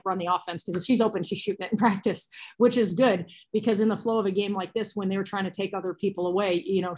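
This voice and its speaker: A woman in her thirties with an American accent, a coach giving matter-of-fact, colloquial answers at a postgame press conference over a video call. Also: medium pitch. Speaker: basketball coach. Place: small cinder-block room indoors. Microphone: laptop or phone on a video call.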